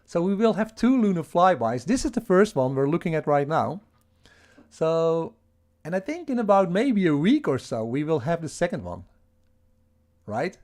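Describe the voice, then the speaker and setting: A man with a Dutch accent talks loosely to his livestream chat from home, restarting sentences and chuckling as he goes. His voice sits a little on the high side for a man. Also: big pitch swings, moderate pace, darkish tone. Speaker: livestream host.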